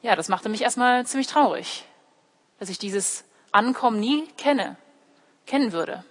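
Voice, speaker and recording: A woman's voice: a little high, animated, a little slow. A young woman, a native English speaker raised in Germany, preaches in an explanatory way, addressing listeners directly and voicing short quotes. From Germany, born in California and raised in Heidelberg. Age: young adult. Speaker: theology student preaching at church. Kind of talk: sermon with personal illustrations. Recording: echoey hall with long reverb.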